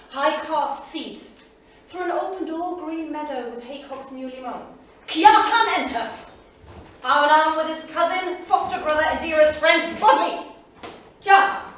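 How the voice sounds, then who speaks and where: An actress plays an intense woman narrator in a stage drama, her voice high and declamatory. She measures out each phrase with deliberate pauses. The recording is very echoey and distant, with faint noise. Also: fairly bright tone. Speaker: stage actor in a staged reading. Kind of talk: dramatic theatrical reading. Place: small theatre studio.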